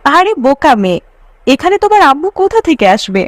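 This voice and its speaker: A breezy, modern college girl from a moral-tale cartoon, acted by a woman. Her high voice bounces with lively intonation in a very dry sound, casual and persuasive. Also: quick pace, no echo. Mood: coaxing. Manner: coaxing, casual.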